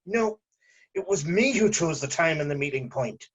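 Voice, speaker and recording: Higher-voiced husky assassin, played by a man. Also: expressive, normal speed. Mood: puzzled. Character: cautious businesslike male assassin in his late thirties, suspicious. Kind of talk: amateur script table read. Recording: dull lo-fi voice recording.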